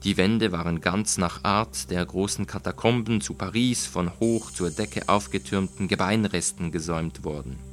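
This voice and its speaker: A man narrating a literary short story in a measured, deliberate, dramatic audiobook style. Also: low voice. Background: music.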